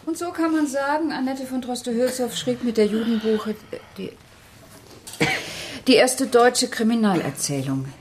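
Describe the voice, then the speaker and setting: An actress playing a harried, indignant forty-year-old schoolteacher in a radio crime drama, articulate and easily flustered. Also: female voice, fairly high pitch, lively intonation, moderate pace, noisy background, close to an everyday voice. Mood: composed, lecturing.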